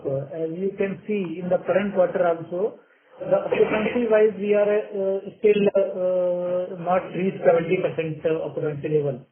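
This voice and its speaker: A man with an Indian accent answering analysts as a company executive, in a halting, filler-heavy way. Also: high-pitched. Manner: halting, hesitant delivery with many fillers. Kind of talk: earnings call answers. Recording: narrow tinny phone conference line.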